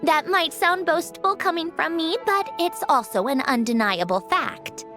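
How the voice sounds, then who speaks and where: A woman's voice: extremely high pitch, lively intonation, measured pace, sharp tone, soft background music, exaggerated voice. A woman voicing a proud, sardonic female puppet inventor in a video game cutscene, explaining things in a dry, cool, slightly haughty way. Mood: subdued.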